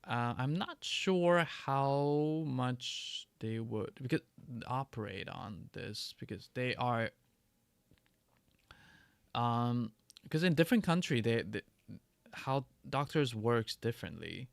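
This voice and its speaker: Gravelly-voiced man chatting on a livestream. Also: mid-range voice, animated, no echo, studio quality. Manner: halting, many restarts and fillers. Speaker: livestream host. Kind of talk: casual livestream chat answering viewer questions.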